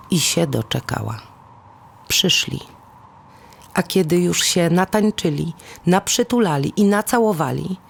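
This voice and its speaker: A woman narrates a dramatic folk tale in a low voice with a gravelly creak. She takes her time, leaving suspenseful pauses, and speaks very slowly. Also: darkish tone. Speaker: storyteller. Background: music.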